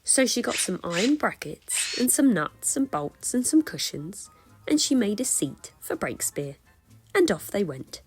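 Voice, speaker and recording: A woman reading a children's picture book aloud in an expressive storytelling style. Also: mid-range voice, measured pace, loud music behind the voice.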